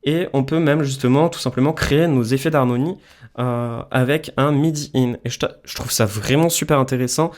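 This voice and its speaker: A man's voice: medium pitch, normal speed, darkish tone. A man presenting a music production tutorial, explaining things informally, step by step. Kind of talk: casual screen-share software tutorial.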